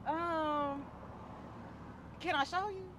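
A woman's voice: sits high, steady tone, very slow, noisy background. A woman in her thirties from Houston with a Houston accent, talking in a playful, coaxing, slangy way with lots of stammering restarts. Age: 30s. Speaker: prank participant. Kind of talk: casual street chat. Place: outdoors on a street, then inside a car.

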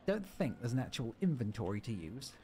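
A man's voice: medium pitch, big pitch swings, a little fast, dark tone, a little hiss or hum. A man streaming a video game, joking and reading the on-screen text aloud as he plays. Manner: playful, jokey, reads game text aloud. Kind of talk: let's-play commentary.

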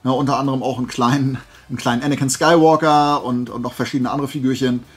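A man's voice: medium pitch, fairly even intonation, quick pace, husky. A man chatting casually and playfully to camera as a vlogger, rambling through asides. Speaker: YouTuber showing flea market finds.

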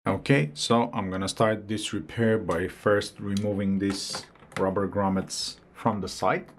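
A man hosting an electronics repair video, walking through a teardown at an unhurried pace and thinking aloud as he goes. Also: fairly low pitch, steady tone, a little hiss or hum. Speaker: electronics repair channel host. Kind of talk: hands-on repair walkthrough.

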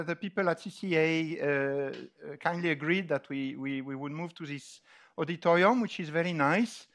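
Male scientific lecturer, French accent, slightly high register, hesitant and deliberate, presenting informally. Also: fairly bright tone. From France. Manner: halting, deliberate, with frequent hesitations. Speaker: lecturer opening a scientific workshop. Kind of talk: informal technical lecture with slides. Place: large dark auditorium, on stage.

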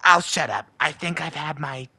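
A man's voice: fairly high pitch, normal speed, pushed and bright, slightly rough, no room sound, exaggerated voice. A man voicing a nervous, lovestruck cartoon imp husband who rambles in an anxious, hesitant way. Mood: sheepish. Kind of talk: in-character fan monologue in cartoon style.

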